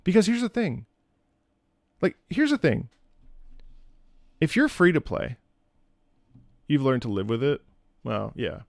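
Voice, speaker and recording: A man's voice: fairly high pitch, huge pitch swings, slow, vocal fry, pauses often, darkish tone, dry recording, studio quality. A man streaming from home, chatting casually with viewers in a rambling, opinionated way, often repeating and restarting his phrases. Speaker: streamer and content creator. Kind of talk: casual livestream chat with viewers. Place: indoors at home.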